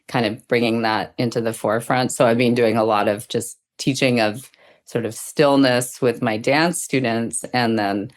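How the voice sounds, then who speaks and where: A woman's voice: rumbling low, fairly even intonation, moderate pace. Thoughtful middle-aged woman in conversation. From Sri Lanka. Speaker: guest, dance teacher and Zen practitioner. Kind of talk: reflective conversational interview.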